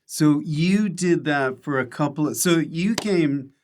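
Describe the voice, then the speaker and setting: A man's voice: a little high, unhurried, darkish tone, a little hiss or hum. Guy hosting a comedy podcast, casual bantering chat, jokes around. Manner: jokey, bantering. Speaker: podcast host.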